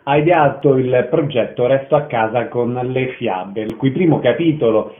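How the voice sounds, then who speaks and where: A man's voice: medium pitch, moderate pace, husky, phone-quality sound. A man hosting a radio interview and putting questions to guests. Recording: band-limited radio broadcast sound.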